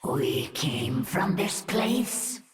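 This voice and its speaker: A woman's voice: deep voice, animated, extremely slow, exaggerated voice. An actress playing a haughty, distrustful female alien brood leader in a video game, terse and disdainful. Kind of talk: video game creature acting. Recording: echoey, distant, faint music behind.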